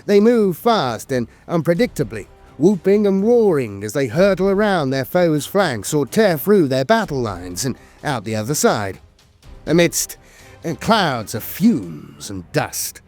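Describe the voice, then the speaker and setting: Higher-voiced man narrating lore with lively intonation. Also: unhurried. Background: music.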